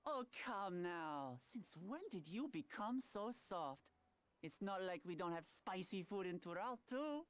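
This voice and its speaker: A woman's voice: mid-range voice, animated, a little slow, lightly acted. A woman playing a confident, cheerful, candid young princess in a video game, speaking in an articulate, breezy way. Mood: cheerful, teasing. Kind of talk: video game cutscene voice acting.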